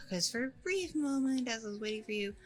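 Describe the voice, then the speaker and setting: A woman's voice: on the high side, animated, brisk, soft background music, close to an everyday voice. A woman playing a friendly, nervous single mom on a blind date in an ASMR roleplay, rambling casually in a bubbly way. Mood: relieved. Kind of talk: ASMR audio roleplay, conversational.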